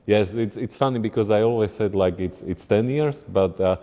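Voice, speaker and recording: A middle-aged man from Slovakia, a game design lead answering interview questions in halting English, often restarting mid-sentence. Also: lowish voice, dark tone, big-room sound.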